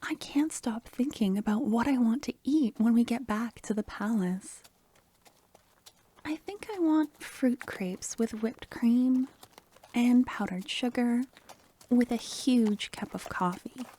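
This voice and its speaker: A woman playing a sweet, caring court necromancer in a softly spoken, half-whispered ASMR fantasy roleplay, talking intimately and affectionately to the listener. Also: fairly high pitch, expressive, takes it slowly, heavily acted. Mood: dreamy, affectionate. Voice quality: soft, breathy, half-whispered. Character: sweet, caring young female court necromancer, doting and anxious.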